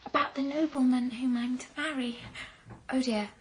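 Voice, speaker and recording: A woman's voice: sits high, fairly even intonation, normal speed, background noise, close to an everyday voice. A woman playing a naive, obedient young girl in a radio drama, innocent and eager in manner. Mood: naive, excited.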